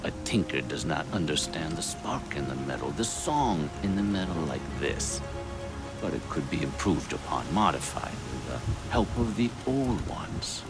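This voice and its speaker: A gravelly, cryptic old elder. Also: male voice, rumbling low, big pitch swings, full character voice. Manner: slow, solemn, cryptic speech. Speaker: game character. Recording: game cutscene with loud music and rain.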